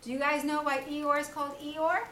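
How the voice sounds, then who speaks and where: A woman reading a children's story aloud like a teacher, in an animated read-aloud style. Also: high voice. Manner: pauses to question young listeners. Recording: roomy, distant mic, faint background noise.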